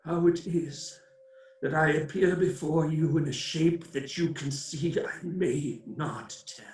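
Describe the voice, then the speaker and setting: A man's voice: fairly high pitch, fairly bright tone. An actor playing the tormented, remorseful ghost of a dead businessman in a radio play, declaiming with grand solemnity in a ghostly reverb. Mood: grave, urgent. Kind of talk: theatrical radio play acting. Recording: echoey, distant-sounding voice.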